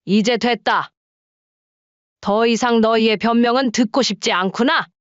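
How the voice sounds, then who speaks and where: A woman's voice: on the high side, a little slow, smooth, dry recording, close to an everyday voice. A performer voicing an elderly mother who coldly confronts her grown children, in a story drama. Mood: resigned. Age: older. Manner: cold, reproachful questioning. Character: wronged elderly mother, stern and hurt. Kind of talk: audio drama story reading. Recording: dull lo-fi dry sound.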